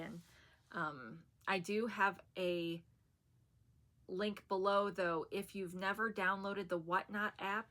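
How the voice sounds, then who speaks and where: Soft-voiced chatty woman vlogger. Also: on the low side. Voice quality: soft and soothing, said to put people to sleep. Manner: chatty and rambling, with soft fillers and laughs. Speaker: haul vlogger. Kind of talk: casual chat to camera.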